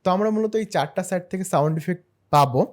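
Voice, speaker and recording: A man narrating a screen-share software tutorial in a step-by-step, explanatory way. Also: high-pitched, very fast. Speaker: tutorial host.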